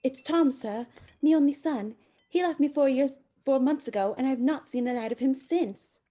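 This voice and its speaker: A woman acts out a humble, anxious country mother for a radio play. She is pleading and deferential, folksy in speech, with a mellow tone. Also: sits high, normal speed. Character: humble, anxious village seamstress, worried mother.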